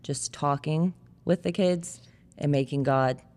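A woman's voice: low voice, a little slow, darkish tone. An American woman in her thirties chatting as a parent, explaining things casually with plenty of "like". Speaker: parent sharing family testimony. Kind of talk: casual conversational testimony. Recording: long reverberant hall echo.

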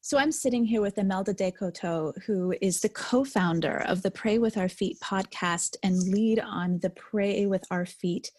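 A woman's voice: medium pitch, moderate pace, hardly any pauses, darkish tone. A woman interviewing a guest in a conversational style over an internet call.

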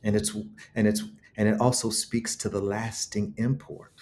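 A man's voice: on the low side, flat delivery, moderate pace, darkish tone, a little hiss or hum. A man speaking as an interview guest, reflective and eloquent, with emphatic, preacherly storytelling and rhetorical repetition. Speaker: guest author.

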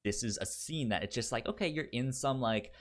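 A man co-hosting a podcast in casual film talk, speaking haltingly and often repeating the first sounds of his words. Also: medium pitch, expressive. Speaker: podcast co-host.

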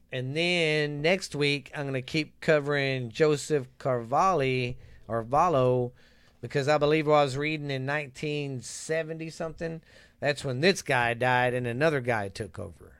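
A man's voice: mid-range voice, clear, resonant tone, no echo. Brief: male podcast co-host, crude joking banter, close dry sound. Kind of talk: casual podcast chat.

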